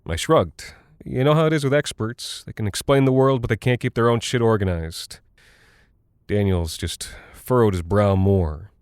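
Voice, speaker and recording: An actor playing a rattled, folksy American cargo pilot who talks in a halting, confiding way, like a personal audio log in a horror drama. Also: male voice, lowish voice, darkish tone, clean tone, no echo, studio quality, lightly acted. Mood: uneasy, confiding. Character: weary, rattled male military cargo pilot, chatty and folksy.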